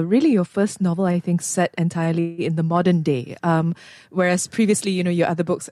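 Low-voiced woman interviewer. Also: warm tone, no echo, studio quality. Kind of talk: casual interview questions.

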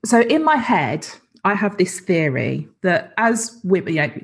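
A woman's voice: lowish voice, sing-song, unhurried, breathy edge. A woman hosting an interview, responding thoughtfully and asking conversational questions. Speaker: interview host.

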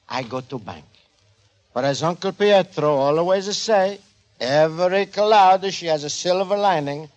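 An actor playing an earnest, naive Italian immigrant man who speaks broken English with an Italian accent in a 1940s radio sitcom. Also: high voice. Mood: wistful, hopeful. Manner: broken English, simple explaining phrases. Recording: noisy vintage 1940s radio recording.

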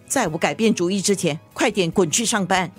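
An actress playing a gruff mother who hides her care, curt and scolding. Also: mid-range voice, brisk, soft background music, close to an everyday voice. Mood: grumbling. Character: gruff, sharp-tongued, secretly caring mother. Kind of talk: Mandarin radio drama acting.

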